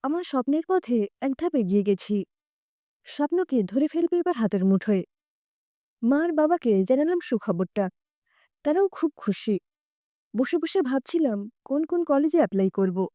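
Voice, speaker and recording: Female narrator for emotional audio drama: high pitch, very soft mellow tone, dry close sound. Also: animated, normal speed, pauses often, no echo. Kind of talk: dramatic first-person story narration.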